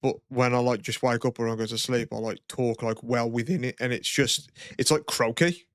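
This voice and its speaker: Man, podcast co-host, throaty low voice, dead dry sound. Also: steady tone, normal speed, no echo. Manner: laid-back, swears a lot, lots of "you know what I mean". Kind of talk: casual chat.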